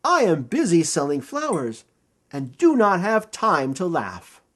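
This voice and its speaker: A man's voice: fairly high pitch, huge pitch swings, a little slow, a bit bright, exaggerated voice. A man voicing busy, annoyed grown-ups in a children's storybook in a brusque, insistent way. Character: busy grown-ups scolding a pesky tickle bug.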